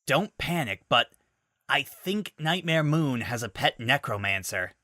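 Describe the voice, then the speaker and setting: A man's voice: mid-range voice, expressive, unhurried, bright, forceful tone, dry recording, clean studio sound, pitched above the performer's own voice. A man voicing a brash, tough young woman flyer who talks bluntly and urgently.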